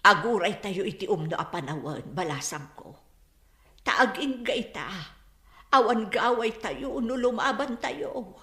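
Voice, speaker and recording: Woman acting a bright-voiced, worried village mother. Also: mid-range voice, expressive, measured pace. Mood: resigned. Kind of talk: radio drama acting. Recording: echoey room, music behind.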